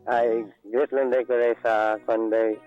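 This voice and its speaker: A man with a Cebuano Filipino accent calling a radio show, talking casually and haltingly, often repeating himself. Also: mid-range voice, flat delivery. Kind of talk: casual phone chat. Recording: dull lo-fi phone call on radio. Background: faint music.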